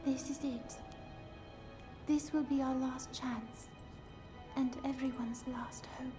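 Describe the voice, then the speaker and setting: A young woman playing an earnest, gentle princess in a video game, speaking in a solemn, tender and measured way. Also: high-pitched. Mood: solemn resolve.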